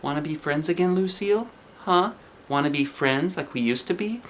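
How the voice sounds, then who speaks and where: A man's voice: a little high, moderate pace, a little hiss or hum, voice pushed up, lightly acted. A man voicing a cheeky, chatty kindergarten girl with a bouncy, emphatic way of talking. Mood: wheedling.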